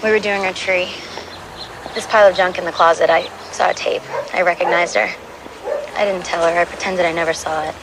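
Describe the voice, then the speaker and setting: A woman's voice: on the low side, steady tone, a little fast, lightly acted. A woman playing a guarded, blunt American sister in her twenties, speaking in clipped sentences in a crime audio drama. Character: guarded, blunt 21-year-old sister of a murder victim. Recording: clear outdoor background noise.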